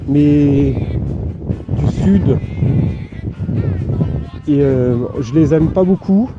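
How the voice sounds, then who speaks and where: A man playing a naive letter-writer who dictates slowly and earnestly, speaking the punctuation aloud. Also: a little high, warm tone. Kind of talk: improvised comic letter. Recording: slightly muffled, faint music behind.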